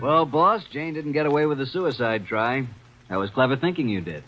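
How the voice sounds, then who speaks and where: A man's voice: mid-range voice, normal speed, loud music behind the voice, lightly acted, poor audio. An actor in a 1940s radio drama playing a wisecracking male assistant detective who talks breezy and cocky. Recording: old radio transcription.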